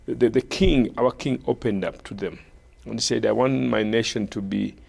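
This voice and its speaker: Guest bishop, Ugandan man, middle-aged, low gravelly voice, measured interview talk. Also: fairly even intonation, darkish tone. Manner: measured, frequent short affirmations. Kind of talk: conversational interview, recounting history.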